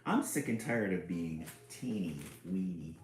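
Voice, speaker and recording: A man's voice: sits low, animated, measured pace, darkish tone, roomy sound, slight background noise. A man voicing a teeny weeny young male unicorn from a storybook, pleading and sad in manner. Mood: fed up.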